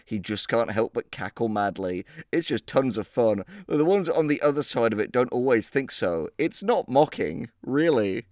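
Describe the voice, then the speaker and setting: Man, average pitch, casual comedic chat, jokey, laugh in the voice, dry recording. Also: no echo. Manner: jokey, laughs while talking. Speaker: co-host.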